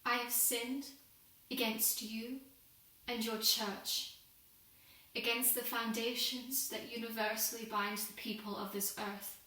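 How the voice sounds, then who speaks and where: Young woman performing as devout industrial-era working woman, guilt-ridden and pleading, solemn dramatic monologue, very slow delivery, heavily echoing room. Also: on the high side, level tone, bright, forceful tone. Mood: solemn, penitent. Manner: solemn, deliberate, formal oratory. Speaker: student performer. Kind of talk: dramatic monologue, confessional prayer. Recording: very echoey room, distant microphone.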